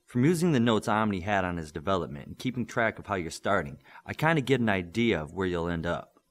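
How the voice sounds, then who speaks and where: A man's voice: low voice, sing-song, fast talker. A man playing a patient, firm superhero mentor in an audiobook, coaching and instructing steadily. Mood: instructive.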